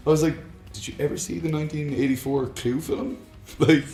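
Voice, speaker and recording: A man's voice: fairly high pitch, fairly even intonation, fairly quick, warm tone, roomy sound, faint music behind. A man co-hosting a casual chat about films and games, talking in a rambling, enthusiastic fan's way. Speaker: podcast co-host.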